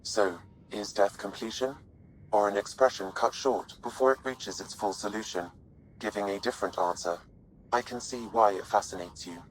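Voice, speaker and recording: An actor playing a polite, curious artificial intelligence in a video game, speaking formally with measured, precise phrasing. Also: male voice, fairly low pitch, slight background noise, lightly acted, low-quality recording. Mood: calm, contemplative.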